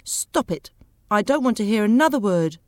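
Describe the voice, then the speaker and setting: A woman voicing a bossy, impatient wife in a story, with a scolding, emphatic, sharp-tongued manner. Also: a little high, very animated, normal speed, no room sound, studio quality, voice pushed up. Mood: scornful, impatient. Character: bossy, impatient, scornful wife of a timid giant.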